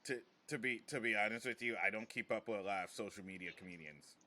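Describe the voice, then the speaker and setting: A man's voice: on the low side, quick pace, pushed and bright. A man who works as a comedian, hosting a casual podcast chat in a rambling, joking, slangy way.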